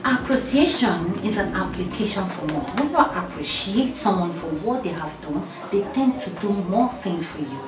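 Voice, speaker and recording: An actress playing a domineering, sharp-tongued, self-serving girlfriend in a film drama, scolding theatrically with rhetorical questions. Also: mid-range voice, lively intonation, moderate pace, hardly any pauses, noisy background, lightly acted. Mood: preachy, condescending.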